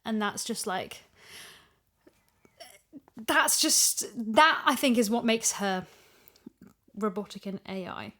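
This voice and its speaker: A woman's voice: medium pitch, animated, takes it slowly, long pauses, a bit bright. A woman chatting casually and animatedly as a voice actor, wordy and thinking aloud. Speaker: voice actor and podcast guest. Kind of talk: casual chat.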